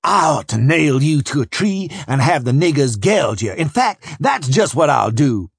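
An enraged American Southern backwoods husband, bent on vengeance. He shouts and snarls his threats in a high, husky voice, swinging wildly in pitch as in a dramatic audiobook. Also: big pitch swings, normal speed, no room sound, clean studio sound, full character voice. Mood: snarling menace.

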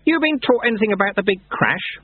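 A man voicing a gruff, wily old monk sweeper in an audiobook story, with folksy, ungrammatical talk and a raised, animated voice. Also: high voice, brisk, no room sound, narrow, tinny audio, voice pushed up. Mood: sly, mentoring.

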